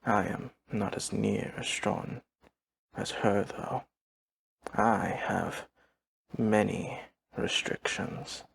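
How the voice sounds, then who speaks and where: Deadpan, gravelly vampire manservant. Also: male voice, lowish voice, full character voice. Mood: flat, solemn. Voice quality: gravelly, breathy husk. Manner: formal, measured, deadpan, no contractions. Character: emotionless, courteous old vampire manservant, devoted to his mistress. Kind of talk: audio roleplay acting, addressing the listener.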